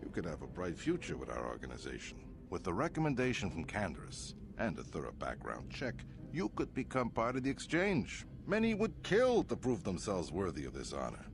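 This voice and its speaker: Deep, swooping, pompous crime boss. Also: male voice, vocal fry, background noise, exaggerated voice. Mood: flattering. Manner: pompous, theatrical, suavely threatening. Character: smug, imperious male crime boss, outwardly gracious, threatening. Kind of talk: dramatic video game acting.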